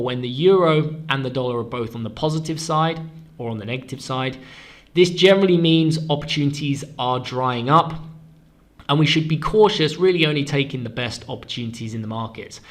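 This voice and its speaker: A man of middle pitch talks through a weekly currency forecast with a stuffed-up voice from a cold. He explains briskly and stresses the key words. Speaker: forex analyst and show host. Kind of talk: weekly market forecast talk.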